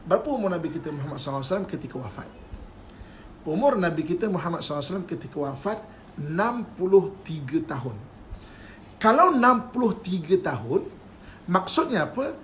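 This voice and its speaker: A man's voice: high voice, sing-song, quick pace, fairly bright tone, a little hiss or hum. Playful Malaysian religious teacher, man. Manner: playful, keeps checking if listeners follow, chuckles often. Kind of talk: live-streamed religious lecture.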